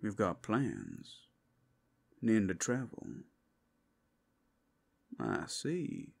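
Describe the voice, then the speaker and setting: A man playing a friendly, courteous townsman in a fantasy ASMR roleplay, talking in a low, husky, folksy and familiar way. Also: huge pitch swings, drawn-out words, heavily acted. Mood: friendly, teasing.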